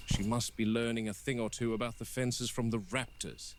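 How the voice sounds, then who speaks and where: A man playing a stern, exasperated game warden delivering a grave, deliberate monologue in a found-footage horror piece. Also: mid-range voice, fairly even intonation, lightly acted. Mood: irritated, wary. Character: stern, exasperated male park game warden logging incidents. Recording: noisy found-footage audio with insects.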